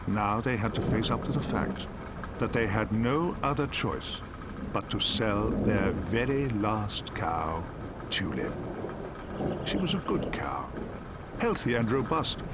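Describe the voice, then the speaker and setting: Male fairy tale narrator, mid-pitched, dramatic and animated, recorded with steady rain behind. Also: noisy background. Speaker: bedtime story narrator.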